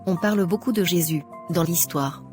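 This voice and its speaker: Young romantic heroine for animation, female actor, courteous, quietly determined, slightly low voice. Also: moderate pace, lightly acted. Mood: friendly. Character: sincere, softly determined young female student in love. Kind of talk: animated drama dialogue. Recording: music behind the voice.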